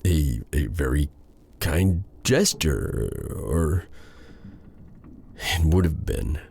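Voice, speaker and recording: A man as a deep, husky, courtly dark sorcerer. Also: lively intonation, exaggerated voice. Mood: flustered. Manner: theatrical, halting, courtly endearments. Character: proud dark sorcerer, male, tender captor with courtly manners. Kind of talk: intimate ASMR audio roleplay drama.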